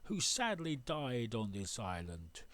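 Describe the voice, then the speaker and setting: A man narrating his sailing voyage as a voice-over, pausing often with many uhs and ums. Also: mid-range voice, big pitch swings, a bit bright. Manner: hesitant, full of uhs and ums. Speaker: sailing vlogger.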